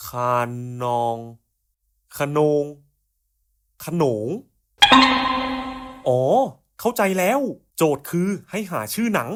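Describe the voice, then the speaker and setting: A man's voice: on the high side, very animated, extremely slow, long pauses, soft background music. A man voicing scripted cartoon puzzle skits in casual, slangy, coarse buddy-style banter.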